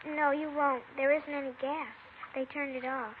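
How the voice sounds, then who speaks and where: A woman's voice: sits high, steady tone, normal speed, natural voice. A woman in 1950s radio acting voicing a frightened, defensive young girl who answers in a guarded, insistent way. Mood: plaintive. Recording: dull old radio broadcast with hiss.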